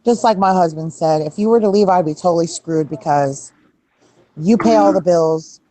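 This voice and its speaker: A woman's voice: on the low side, moderate pace, dark tone, rough recording. A woman in her forties in casual chat, talking emphatically and swearing. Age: 40s. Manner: emphatic, profane reacting.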